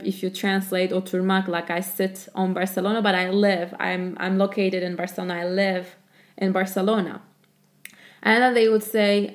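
A woman giving a relaxed, chatty language lesson, with many fillers, who repeats example phrases slowly. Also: medium pitch. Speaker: language teacher. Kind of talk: casual spoken lesson.

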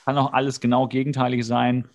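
A man co-hosting a podcast, casually and comically retelling an episode in an animated way with exasperated, slangy outbursts. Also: mid-range voice, brisk. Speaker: podcast co-host. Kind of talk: casual comedic episode recap.

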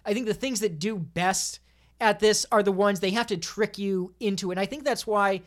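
Casting: female podcast panelist, mid-pitched, opinionated, explains at length in relaxed conversational style. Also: normal speed. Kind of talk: casual podcast discussion.

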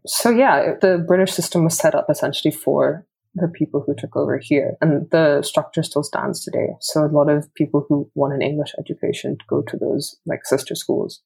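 A woman's voice: sits low, a little mellow, breathy edge, poor audio. A young woman from Hong Kong, chatting casually as an interviewee about her studies, with lots of "like" fillers. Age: young adult.

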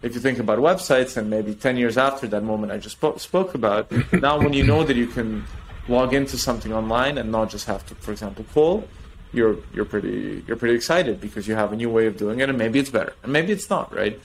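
A man talking as a guest on a podcast interview, explaining technology in an animated way with restarts and trailing thoughts. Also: medium pitch, a little fast, a little hiss or hum, poor audio. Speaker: tech company guest.

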